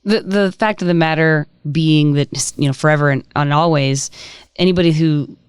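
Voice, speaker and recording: A podcast host with a smooth, resonant voice speaks articulately and wryly, dropping laughing asides. The recording is dry and close, with no room sound. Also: medium pitch, moderate pace, dry recording. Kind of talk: casual podcast interview.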